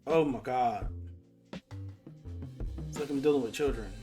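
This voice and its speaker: A man's voice: medium pitch, a little slow, mellow, soft background music. A man in his twenties hosting a live voice chat, talking in a casual, confrontational way with plenty of slang and swearing. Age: 20s. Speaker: host of an online live voice chat. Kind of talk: casual argumentative live conversation.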